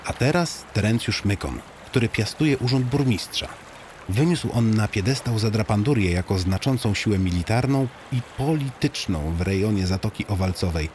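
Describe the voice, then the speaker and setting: A man's voice: fairly low pitch, expressive, moderate pace, a little mellow, slight background noise. A man narrating a comic audiobook as a guide addressing the listener, in a tongue-in-cheek, mock-solemn storytelling manner.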